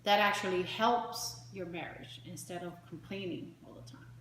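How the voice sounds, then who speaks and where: A woman's voice: lowish voice, moderate pace, a bit bright. A woman co-hosting a talk show, chatting casually and offering advice. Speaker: co-host of a marriage advice show. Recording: roomy echo with faint background noise.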